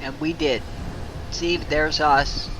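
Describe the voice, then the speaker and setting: A man's voice: on the high side, level tone, takes it slowly, background noise. A man giving casual commentary as he plays through a video game.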